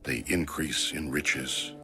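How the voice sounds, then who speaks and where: A man giving a solemn, measured and dramatic scripted reading of scripture, like an audiobook. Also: extremely low pitch, steady tone, pushed and bright. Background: music.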